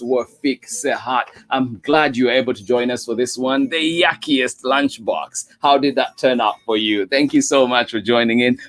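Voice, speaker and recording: A man's voice: on the high side, fairly bright tone. A man hosting a children's story time stream, cheerfully greeting viewers by name and thanking them again and again. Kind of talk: live-stream chat with viewers after a read-aloud. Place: Oakland.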